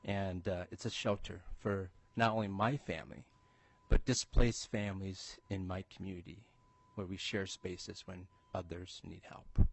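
A man's voice: low voice, steady tone, a little slow, vocal fry. A man from Minnesota giving an upbeat, personal introduction at a committee hearing. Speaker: state representative.

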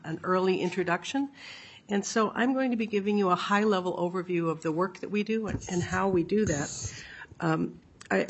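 Female official, hoarse from a cold, earnest and measured, low pitch. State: raspy voice from a cold. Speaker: agency executive director testifying. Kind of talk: formal testimony presentation.

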